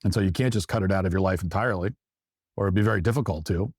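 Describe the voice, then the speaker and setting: A man's voice: sits low, racing, darkish tone, breathy edge, no echo, clean studio sound. A man hosting a talk show, giving emphatic opinion commentary and repeating phrases for stress.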